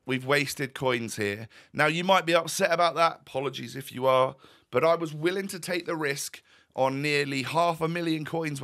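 Guy in his 30s, croaky voice, bright and chatty, rambling game commentary at his desk. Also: mid-range voice. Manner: chatty, wry, with fillers and restarts. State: croaky, voice going. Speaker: gaming YouTuber. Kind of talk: casual pack-opening commentary. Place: home room, indoors.

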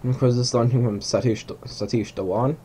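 A man's voice: medium pitch, steady tone, a little fast, dark tone, a little hiss or hum. A young man whose first language is Hungarian, casually commentating a game rocket launch at home, rambling and correcting himself as he goes. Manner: rambling, self-correcting, chuckling asides. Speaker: gamer narrating a rocket launch. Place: small room at home.

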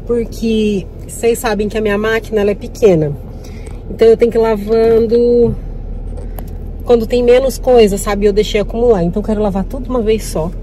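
A woman's voice: mid-range voice, warm tone, low-quality recording. Brazilian woman vlogging at a campground, talking right to her viewers, a bit of outdoor and car noise. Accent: Brazilian Portuguese. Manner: chatty, addresses viewers directly. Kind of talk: casual vlog to camera.

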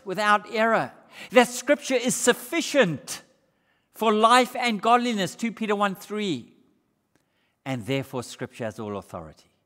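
An older man preaching a sermon in a church, shifting between raised, emphatic passages and hushed, low ones. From Australia. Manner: gestures broadly while preaching. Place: church hall with brick walls. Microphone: far camera. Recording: reverberant church hall.

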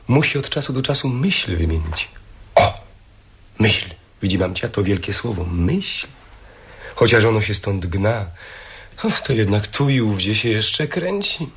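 An actor playing a cynical, mocking young bourgeois son in a radio play, theatrical and sardonic. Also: male voice, on the low side, animated, measured pace, a bit bright. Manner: sardonic, theatrical, drawling interjections. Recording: old radio recording with faint noise.

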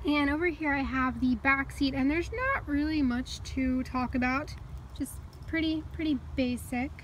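A young woman with a high, bright voice chats her way through a tour of her small car, upbeat and quick to laugh. She films on a phone in her hand from inside the parked car, with a faint hiss behind her. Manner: chatty and upbeat, with little laughs. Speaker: vlogger. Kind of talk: casual car tour walkthrough. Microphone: phone held in hand.

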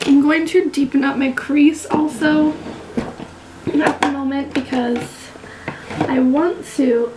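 Twenties woman, high, slightly raspy voice, chatty vlogger, drifts into whispers. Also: low-quality recording. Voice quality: raspy, throat not clear. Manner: chatty, gushing, drops to whispers while doing makeup. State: recovering from illness, clearing throat. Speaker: beauty vlogger. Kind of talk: casual vlog chat. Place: small bedroom, indoors. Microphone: camera mic, close framing.

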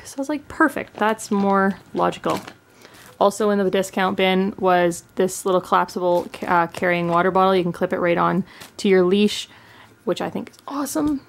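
A young woman from Canada chats eagerly about her purchases, her words full of little fillers and restarts. Her mid-range voice has a gravelly fry to it. She sounds like she's recorded at home on a camera sitting on a table. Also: medium pitch. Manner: chatty, enthusiastic, lots of fillers. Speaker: pet channel host. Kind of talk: casual haul chat. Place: indoors at home, living room.

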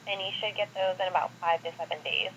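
A woman's voice: fairly low pitch, steady tone, fast talker, fairly bright tone, breathy edge. A woman working as a customer service agent, talking on a phone call heard over speakerphone. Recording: noisy muffled speakerphone call.

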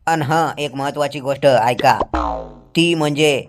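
A man's voice: on the high side, a little slow, music in the background, close to an everyday voice. A man voicing a bossy, blunt landlord in a comedy cartoon, lecturing in rustic speech as he lays down rules. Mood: stern, warning. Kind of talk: comic cartoon dialogue.

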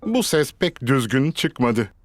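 A man playing a cheerful storybook narrator for a children's cartoon, speaking clearly in an animated storytelling manner. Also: medium pitch, slow, no room sound, studio quality. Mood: amused.

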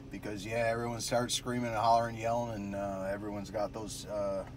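A man's voice: on the low side, level tone, normal speed. An American man chatting casually over the radio in a rambling, easygoing way, recorded in a noisy parked car. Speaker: amateur radio operator. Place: inside a parked car at roadside with windows down.

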